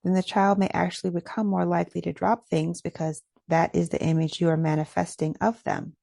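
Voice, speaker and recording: A woman leading a guided meditation in a gentle, measured, instructional way. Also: on the low side, little pitch movement, creaky, no echo, clean studio sound.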